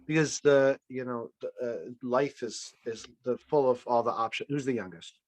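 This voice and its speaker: A man's voice: mid-range voice, moderate pace. A man hosting a casual game show over a video call, playful and teasing, with rambling restarts. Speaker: host.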